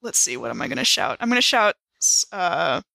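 Bright-voiced woman playing a tabletop game. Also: on the low side, big pitch swings, a little fast, vocal fry, no echo. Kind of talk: casual improvised game chat.

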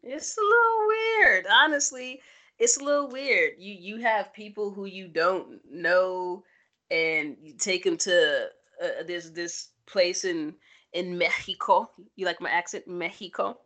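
A woman's voice: lowish voice, lively intonation, measured pace, pushed and bright. A woman co-hosting a sports podcast in casual, playful, teasing chat.

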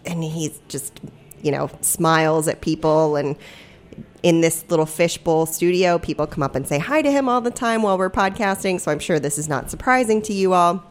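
A woman's voice: fairly low pitch, normal speed. A woman co-hosting a podcast, telling a story in a chatty, rambling way with frequent laughs. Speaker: podcast co-host. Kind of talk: casual chat, storytelling. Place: small glass-walled podcast studio.

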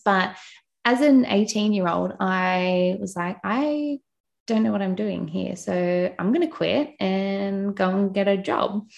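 A woman's voice: medium pitch, vocal fry. A young adult woman with an Australian accent, a podcast guest chatting casually and self-deprecatingly with plenty of fillers. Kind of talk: casual interview chat.

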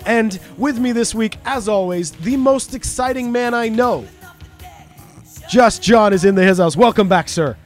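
A man's voice: high voice, a little slow, faint music behind. A man with a Canadian accent hosting a podcast with his friends, chatty, loose and profane. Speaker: podcast host. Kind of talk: casual podcast chat. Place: small home studio in Wales.

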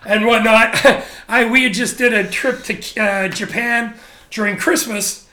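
A man being interviewed, talking casually and candidly about his career, animated and blunt with frequent restarts. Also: high-pitched, measured pace, roomy sound. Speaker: interview guest, photographer. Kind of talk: casual conversational storytelling.

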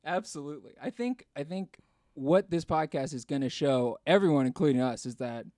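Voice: mid-range voice, expressive, normal speed, warm tone, dry recording. A playful podcast co-host whose voice swings up and down as he riffs on the jokes. The studio sound is close and dry. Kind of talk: casual comedic chat.

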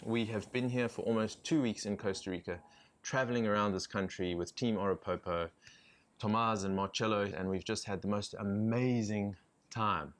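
A man co-hosting a podcast, asking calm, measured interview questions. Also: on the low side. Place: riverbank outdoors.

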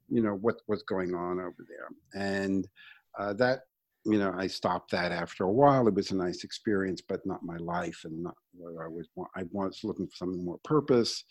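A middle-aged or older American man from Brooklyn telling his own story in a conversational, reflective interview style with hesitant pauses. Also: deep voice. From Brooklyn, New York, United States. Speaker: interview guest, sustainability entrepreneur.

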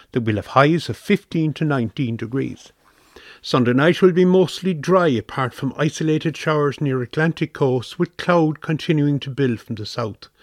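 A man reads the weather forecast for community radio in a mid-range voice. His delivery is measured and even, with the calm pacing of a script. The recording is close and dry. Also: medium pitch, no echo.

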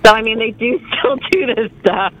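A woman's voice: a little high, moderate pace, noisy background. A middle-aged woman calling in to a talk show, chatting casually. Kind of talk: casual conversation.

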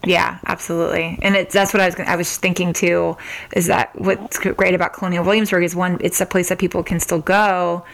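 A woman's voice: fairly low pitch, little pitch movement, a little fast, creaky, a bit bright, low-quality recording. An American woman hosting a podcast, interviewing in a casual, agreeable, informal way. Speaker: podcast host interviewing an author.

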